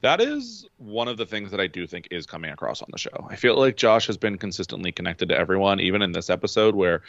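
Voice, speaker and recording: Lively, low-voiced man on a dull mic. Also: fast, no room sound. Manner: animated, laughs often, mock voices. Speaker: podcast co-host. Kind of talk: casual reality-TV recap chat.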